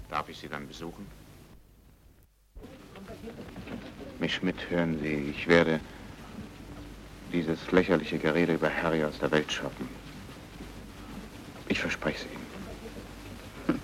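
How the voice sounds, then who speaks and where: An actor playing an earnest, persistent foreign investigator in an old German radio play, with probing, urgent questions. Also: male voice, very deep voice, level tone, unhurried, long pauses. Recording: noisy old radio drama recording.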